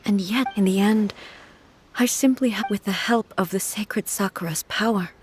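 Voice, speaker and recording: A woman playing a composed, dignified warrior ruler in a video game, speaking in a formal, grave and measured manner. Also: mid-range voice, natural voice. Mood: reflective. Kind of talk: video game character acting. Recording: music behind the voice.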